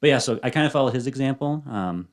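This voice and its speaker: A man in his thirties, a podcast guest chatting casually and softly, with frequent ums and uhs. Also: medium pitch, expressive, fast talker. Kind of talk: casual interview chat.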